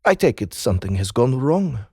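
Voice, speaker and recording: A man playing a cold, formal, menacing Russian president with a Russian accent, speaking deliberately in a dramatized audiobook. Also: medium pitch, big pitch swings, a little mellow, slightly rough, no echo, studio quality. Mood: wry, probing.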